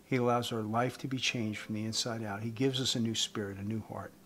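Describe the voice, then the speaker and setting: A man in his fifties giving an earnest evangelistic talk to camera as a host, phrasing deliberately with emphasis. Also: fairly low pitch, fairly even intonation, a bit bright. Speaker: host giving a faith message. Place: indoors, room with wooden beams. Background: guitar music.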